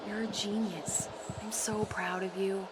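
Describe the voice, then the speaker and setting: A woman playing a coaxing, eerily sweet wife in a video game drama, with a hushed manner. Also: flat delivery, measured pace, close to an everyday voice. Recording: loud rumbling background noise.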